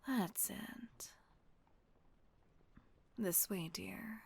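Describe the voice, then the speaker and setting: Woman acting a breathy, soothing, genteel vampire mistress. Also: medium pitch, heavily acted. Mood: soft, comforting. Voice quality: breathy, husky near-whisper with creaky edge. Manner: gentle, genteel, unhurried, soothing. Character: kind, refined female vampire mistress soothing her purchased captive. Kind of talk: intimate roleplay audio drama.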